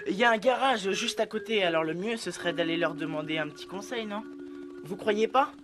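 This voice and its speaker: A woman's voice: mid-range voice, fairly quick, natural voice. A woman voicing a bossy, wisecracking cartoon schoolboy who talks in a cocky, chatty way over music. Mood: sly. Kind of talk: cartoon voice acting, French dub. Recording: music under the voice.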